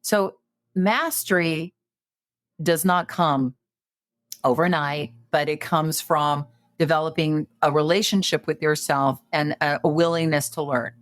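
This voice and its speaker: A woman's voice: sits low, unhurried, no room sound, studio quality. A woman in her late fifties, a podcast guest giving coaching advice in a conversational way, full of fillers and restarts. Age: 50s. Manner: animated, many fillers and restarts.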